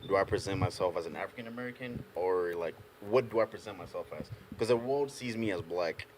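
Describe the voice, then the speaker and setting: A young man from Ghana, a panel guest telling animated stories in a casual group chat, often laughing as he talks. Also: low-pitched, fast talker, a little hiss or hum, poor audio. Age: young adult. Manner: animated storyteller, laughs through anecdotes.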